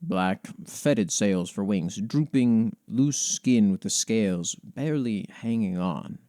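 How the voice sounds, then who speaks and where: A man hosting a podcast, reading fantasy lore in an expressive, measured storytelling way with casual commentary. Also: lowish voice, dry recording, studio quality. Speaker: podcast host.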